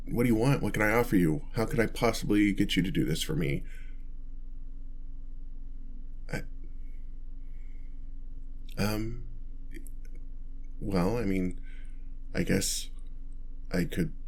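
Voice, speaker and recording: A bashful male vampire, acted by a man for an ASMR roleplay, with a low-pitched voice. He stammers and gets flustered, too awkward and kind to be frightening. He speaks straight to the listener in halting phrases. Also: darkish tone, slightly rough, heavily acted. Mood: hesitant, reluctant. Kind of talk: ASMR roleplay, one-sided dialogue to listener.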